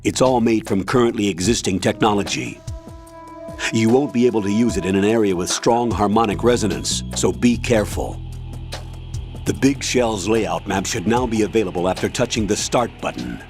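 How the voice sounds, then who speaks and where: A man playing a commanding older army colonel in a video game, giving measured, authoritative mission briefings. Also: fairly low pitch, music in the background, close to an everyday voice. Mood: calm, instructive.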